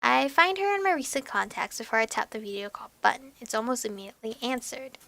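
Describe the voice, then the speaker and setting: A young woman reading a visual novel aloud as a let's player, expressive and animated, with music behind her. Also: fairly high pitch, fast talker, creaky, no room sound.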